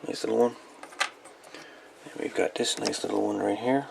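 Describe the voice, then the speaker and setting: A man giving a casual hands-on show-and-tell as a hobbyist, in a folksy, rambling, halting way. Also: on the low side, creaky, darkish tone, slight background noise, rough recording. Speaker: radio hobbyist presenting salvaged parts.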